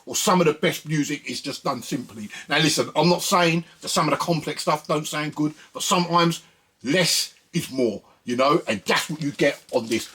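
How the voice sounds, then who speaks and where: A man's voice: fairly high pitch, fairly bright tone, husky. A man presenting records in a casual, conversational music commentary, often repeating phrases for emphasis.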